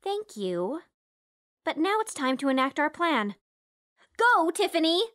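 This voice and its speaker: Woman acting an excitable, high-pitched mob princess. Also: unhurried, heavily acted. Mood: polite, excited. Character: excitable, naive, polite young mob heiress. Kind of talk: comedy audio drama acting.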